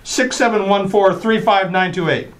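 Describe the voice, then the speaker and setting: A woman's voice: medium pitch, moderate pace, close to an everyday voice. A woman acting as an exasperated, scolding father, stern and flustered, in an old-time radio comedy. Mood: lecturing. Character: Daddy, exasperated scolding father of a little girl.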